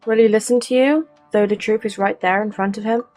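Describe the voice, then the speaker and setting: A woman's voice: medium pitch, steady tone, brisk, darkish tone, faint music behind. A woman creating gaming videos, reacting casually to a playthrough in a chatty, sympathetic way.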